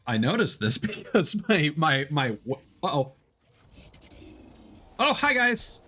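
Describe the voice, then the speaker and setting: A man doing casual live gaming commentary and chatting with viewers, excitable and quick to exclaim when surprised. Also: fairly high pitch, soft background music. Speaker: video game streamer.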